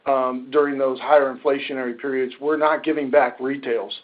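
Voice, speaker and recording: A man answers questions as a finance executive on a conference call. His phrasing is measured and corporate, in a medium-pitched voice. The audio is slightly dull and muffled.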